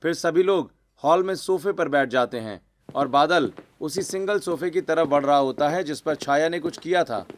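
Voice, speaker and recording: A man narrating a scripted family story for an animated video. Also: fairly high pitch, normal speed, slight background noise.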